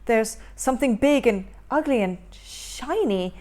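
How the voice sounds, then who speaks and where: A woman voicing a tiny, excitable male tooth troll in a storybook reading, frantic and shouty. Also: a little high, measured pace. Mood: alarmed. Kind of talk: storybook character voice.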